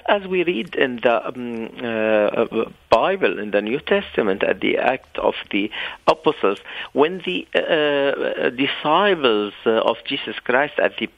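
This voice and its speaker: A man in his forties from Syria with an Arabic accent, a pastor speaking haltingly with many fillers in an interview. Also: mid-range voice, no room sound. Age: 40s. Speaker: pastor guest. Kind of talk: interview over phone line. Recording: dull lo-fi phone line.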